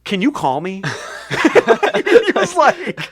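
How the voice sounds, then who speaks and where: A man hosting a comedy podcast, telling stories in a rambling, animated way. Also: very high-pitched. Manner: rambling, quick, animated storytelling. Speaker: podcast host. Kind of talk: casual comedic banter. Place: basement studio.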